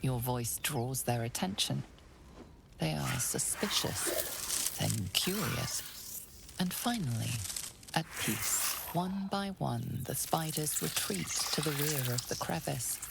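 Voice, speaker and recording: Ominous game narrator: low female voice, deliberate delivery, creaky edge, music underneath. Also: animated, heavy background noise, full character voice. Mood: hushed, measured. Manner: measured, deliberate. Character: hushed, ominous female storyteller. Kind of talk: dramatic scripted fantasy narration.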